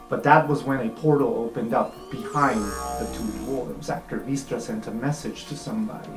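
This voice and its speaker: Tabletop game master, mid-pitched, narrating casually in a roomy space with music underneath. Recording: echoey room with music behind.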